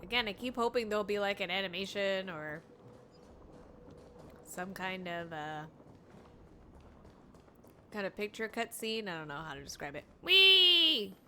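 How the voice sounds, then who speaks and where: Female game streamer: bright, forward voice, playful, reactive, faint noise and game sound behind. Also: medium pitch, big pitch swings, a little slow, long pauses. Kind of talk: live game commentary, reading on-screen text aloud.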